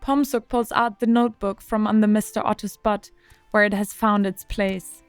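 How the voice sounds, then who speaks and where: A woman's voice: mid-range voice, steady tone, moderate pace, clean tone, no echo, soft background music. A woman narrating an audio drama from a script as the storyteller.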